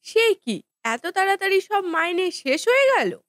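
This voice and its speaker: Woman as a high-voiced, sweet, dutiful wife. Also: very animated, unhurried, fairly bright tone, no room sound, studio quality, heavily acted. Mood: concerned. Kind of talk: Bengali family cartoon voice acting.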